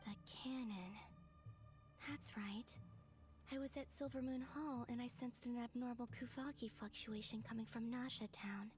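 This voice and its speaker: A woman playing a gentle, pensive young moon maiden in a video game, with a soft, breathy, musing delivery. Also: fairly high pitch, fairly even intonation, normal speed, soft background music, close to an everyday voice. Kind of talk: video game voice acting, inner monologue.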